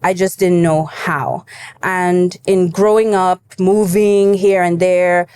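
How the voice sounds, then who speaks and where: A young adult woman from Cameroon, a guest in an interview, telling her story in a lively casual way and acting out her younger self. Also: on the low side, slow pace, smooth. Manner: animated storytelling, acts out her younger self, laughs easily.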